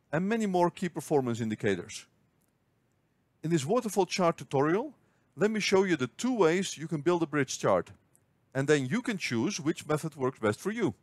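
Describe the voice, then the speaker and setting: A man narrating a scripted spreadsheet tutorial, explaining step by step in a clear, measured way. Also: on the high side, very animated, no echo. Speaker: finance tutorial host. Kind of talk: scripted screen-recording tutorial.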